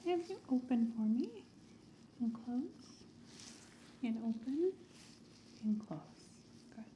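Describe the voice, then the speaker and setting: A woman guides a listener through a calm clinical checkup, moving between a soft speaking voice and close whispers. Her tone is mellow and unhurried, and her instructions are gentle and precise. A faint hiss sits behind her. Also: a little high. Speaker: ASMR host playing a clinical examiner. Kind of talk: ASMR medical exam roleplay.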